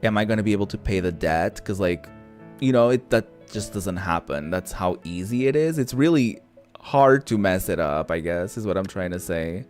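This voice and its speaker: A man doing casual let's-play commentary, thinking out loud with some mild swearing over background music. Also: low-pitched, lively intonation, normal speed. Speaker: gaming host. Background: background game music.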